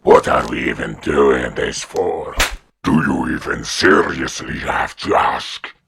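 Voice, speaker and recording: A man playing a bloodthirsty, vengeful giant warrior in a fantasy audio drama, with a deep, raspy growl. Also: slow, noisy background, heavily acted. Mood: hungry, scheming.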